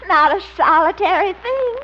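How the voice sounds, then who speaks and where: An actress playing a flirtatious, gushing, adoring sweetheart in a 1950s radio comedy, coy and cooing. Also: female voice, very high voice, expressive, moderate pace, pushed and bright, heavily acted. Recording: muffled, noisy old radio recording.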